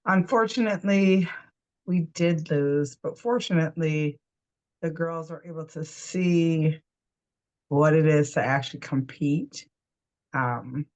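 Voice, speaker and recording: A woman's voice: low-pitched, slow, darkish tone, highs cut off. A woman in her fifties, a volleyball coach, talking casually and unhurriedly, with many fillers. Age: 50s. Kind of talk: casual chat.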